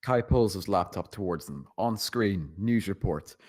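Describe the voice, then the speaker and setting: A man's voice: low voice, expressive, measured pace, darkish tone. A man narrating scene directions from a screenplay at a table read. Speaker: narrator reading stage directions.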